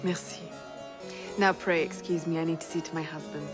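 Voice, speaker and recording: A woman's voice: deep voice, fairly even intonation, normal speed, over music, close to an everyday voice. An actress playing a poised, businesslike aristocratic woman conspirator in a video game, formal and composed. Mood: polite. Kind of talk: dramatic video game acting.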